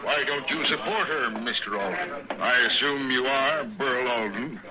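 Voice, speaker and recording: A man's voice: medium pitch, a little slow, natural voice, low-quality recording. An actor playing a cold, domineering American banker father in a 1950s radio western, formal, clipped and condescending. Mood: cold, needling. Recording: old radio, crowd noise behind.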